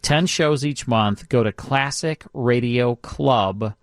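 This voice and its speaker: A man's voice: mid-range voice, slow, no echo, studio quality. A man with an American accent hosting a radio show, chatting casually and promoting things. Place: radio studio, dry close sound.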